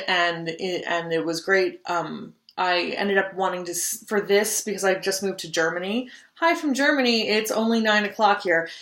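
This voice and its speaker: A woman's voice: fairly low pitch, fairly bright tone, roomy sound. A woman singer giving casual audition tips in a vlog, chatty with many ums and restarts. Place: home room, just moved abroad.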